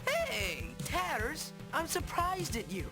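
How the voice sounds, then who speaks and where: A cocky, goofy young guy from a cartoonish video game, slangy and breezy. The voice is high and swings widely while taking its time, over clearly audible music. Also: huge pitch swings, takes it slowly, heavily acted.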